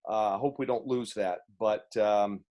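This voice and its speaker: A man with a booming voice answering press questions as a head coach, earnest and halting with restarts, on a video call. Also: fairly even intonation, warm tone.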